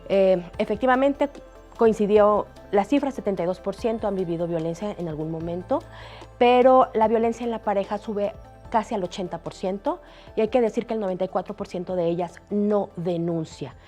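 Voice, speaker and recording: A woman with a warm, mellow tone and a mid-range pitch, answering questions as an expert guest. She talks in a measured way, laying out numbers and pausing on filler sounds. Faint music sits underneath. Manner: measured, cites figures, frequent "eh" fillers. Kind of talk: studio interview answer.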